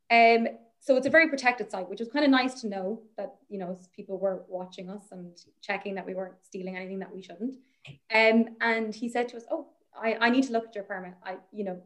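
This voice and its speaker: A young Irish woman researcher, chatting as a guest and telling a story in a rambling way, with plenty of laughter and fillers. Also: on the high side. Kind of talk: casual anecdote on a podcast.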